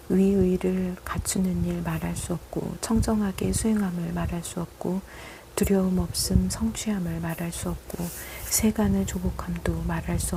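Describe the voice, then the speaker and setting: A woman reciting Buddhist scripture solemnly, in a measured, repetitive cadence. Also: fairly low pitch, vocal fry, noisy background.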